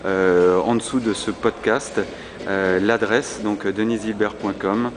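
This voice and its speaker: A man with a fairly low voice conducts a podcast interview, hesitant and full of fillers. The ambient noise of a busy convention floor comes through clearly behind him. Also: fairly even intonation, slow pace. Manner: hesitant, many fillers and repeated words.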